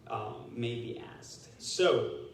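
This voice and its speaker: A man from Venezuela, a Spanish speaker, moderating a candidate forum in English with a Venezuelan accent, speaking haltingly with restarts. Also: mid-range voice, expressive, slight background noise. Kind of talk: explaining forum rules. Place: large echoey hall. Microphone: distant room mic. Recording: echoey hall, voice far off.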